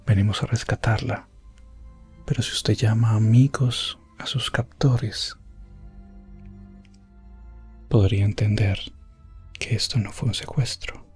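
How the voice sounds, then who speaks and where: Man as a breathy, whispering elf prince storyteller. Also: fairly low pitch, exaggerated voice. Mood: courteous, probing. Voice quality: breathy, hushed. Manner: soft-spoken, often whispering, unhurried dramatic pauses. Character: elf prince adventurer recounting his rescue quest, gentle and solemn. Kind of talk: ASMR fantasy roleplay storytelling.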